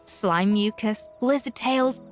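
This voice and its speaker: Poised young chef, high-voiced. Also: female voice, slow pace. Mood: enthusiastic. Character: confident young female chef, poised, exacting, adventurous. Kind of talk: video game character voice acting. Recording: faint music behind the voice.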